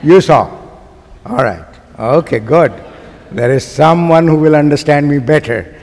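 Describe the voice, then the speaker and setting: A man with a Pakistani accent preaching a sermon to a congregation, using emphatic, deliberate phrasing and putting rhetorical questions to his listeners. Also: on the high side, animated, a little mellow. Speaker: guest preacher. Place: large church sanctuary. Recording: long hall reverb of a church sanctuary.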